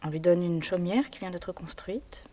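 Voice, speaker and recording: Low, breathy-voiced woman in monologue. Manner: hushed, often whispered delivery; measured, pausing storytelling. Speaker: actress performing a monologue. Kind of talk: theatrical monologue.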